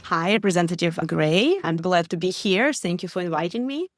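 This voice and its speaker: Earnest Ukrainian-accented woman, lowish voice. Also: moderate pace, soft background music. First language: Russian. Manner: earnest, with small stumbles and non-native grammar. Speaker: guest, refugee aid director. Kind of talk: interview answers.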